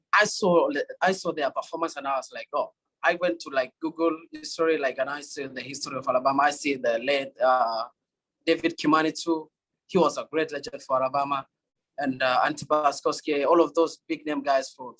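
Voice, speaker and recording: Kenyan college runner, young man, husky tone, halting answers. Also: fairly high pitch, fairly bright tone, low-quality recording. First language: Swahili. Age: young adult. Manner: halting, many fillers and restarts. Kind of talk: interview answers.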